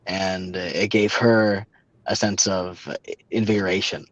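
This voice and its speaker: A young man with a very low voice tells a story haltingly, stopping and restarting often. The sound is dry and dull, as if coming through a remote call, with no room echo. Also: level tone, fairly bright tone. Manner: halting, many fillers and restarts. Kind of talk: casual storytelling.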